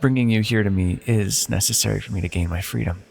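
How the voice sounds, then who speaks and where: A man playing an ancient godlike villain, seductive and softly manipulative, with a gravelly, breathy voice in an intimate fantasy audio roleplay. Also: on the low side, fast, big-room sound, faint music behind. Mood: tender, teasing.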